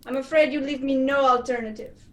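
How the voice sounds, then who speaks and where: A high-pitched female villain of a radio play, acted by a young woman. She is a spy chief with a respectable front, suave and polite, laying out threats without hurry. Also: fairly even intonation, lightly acted. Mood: suave menace. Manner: polite, unhurried menace. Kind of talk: amateur radio play acting. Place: indoors, performed over a video call.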